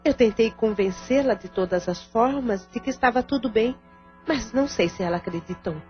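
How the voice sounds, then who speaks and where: A Brazilian actress playing a caring, devout aunt and supportive sister in an audio drama. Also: female voice, a little high, normal speed, lightly acted. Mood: earnest, concerned. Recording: dull lo-fi audio under music.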